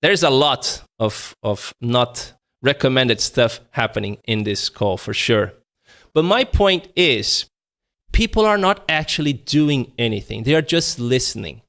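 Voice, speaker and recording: A man in his thirties hosting a live webinar over a video call, talking casually and raising and lowering his energy to make his points. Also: expressive, a little slow, a bit bright. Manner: shifts voice energy up and down to demonstrate, conversational. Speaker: webinar presenter. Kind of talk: live online webinar talk. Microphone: video call webcam.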